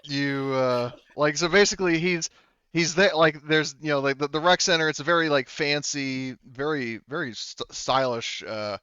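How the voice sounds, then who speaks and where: A man's voice: fairly high pitch, moderate pace, bright, forceful tone, clean tone, no room sound, lo-fi sound. A man running a tabletop game, narrating off the cuff in a casual, rambling way. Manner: casual, rambling, often says like. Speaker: game master.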